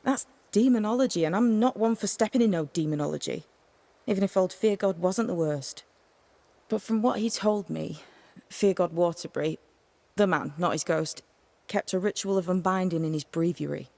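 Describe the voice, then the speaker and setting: A woman playing an eccentric seer who talks with the dead in a video game, creaky and measured in delivery. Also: fairly low pitch, a little mellow. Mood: calm, guarded. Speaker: game voice actor. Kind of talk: dramatic game dialogue.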